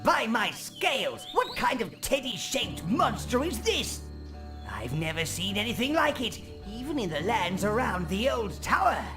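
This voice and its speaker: A man playing a wicked, fawning old goblin advisor in a video game, with a raspy, croaky, theatrical delivery. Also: high voice, very animated, a little slow. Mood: horrified disgust. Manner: theatrical, obsequious, exclaiming. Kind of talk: video game character acting. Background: loud music.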